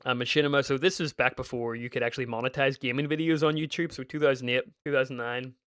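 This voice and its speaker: A man's voice: medium pitch, fairly even intonation, quick pace, fairly bright tone, clear, resonant tone, dry recording, studio quality. A man in his thirties with a Northern Irish accent carrying a slight American twang, giving casual, meandering gameplay commentary as a gaming YouTuber. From Northern Ireland. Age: 30s. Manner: meandering and reminiscent, frequent restarts.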